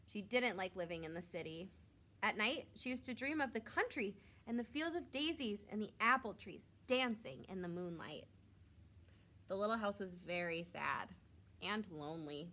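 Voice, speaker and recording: A woman reading a picture book aloud to young children, expressively, drawing out words for emphasis like a teacher. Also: medium pitch. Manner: expressive, with drawn-out emphasis and questions to listeners.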